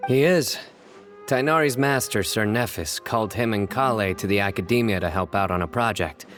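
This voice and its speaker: Casting: man as stern young game lawman, lowish voice, deadpan, measured and formal. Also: faint music behind, natural voice. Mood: matter-of-fact. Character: stern, composed young male investigator, dry and serious. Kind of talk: video game voice acting.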